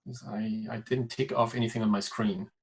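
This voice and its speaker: A man's voice: lowish voice, fairly even intonation, moderate pace. A man from Austria introducing himself on a video call in a chatty, upbeat way. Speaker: change facilitator. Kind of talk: casual self-introduction.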